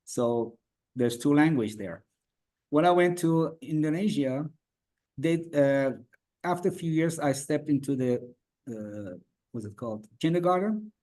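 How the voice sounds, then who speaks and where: A man from Iran, a native Farsi speaker, answering interview questions in halting English with many fillers and restarts. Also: fairly high pitch, lively intonation, a little mellow. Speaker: guest.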